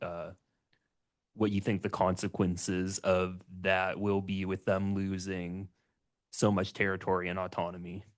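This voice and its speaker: Low monotone guy asking a question, polite, bit hesitant. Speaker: audience questioner.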